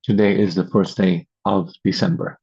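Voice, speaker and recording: A man leading a guided meditation as host, giving slow, calm instructions in measured short phrases with long pauses, recorded over a video call. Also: low-pitched, vocal fry, husky. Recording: dull lo-fi video call audio.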